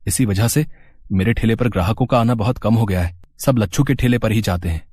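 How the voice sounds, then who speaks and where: A man's voice: on the low side, fairly quick, a little mellow, no room sound, studio quality, close to an everyday voice. A man playing an honest, aggrieved poor street food vendor with a plaintive, protesting manner in a story. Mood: worried complaint.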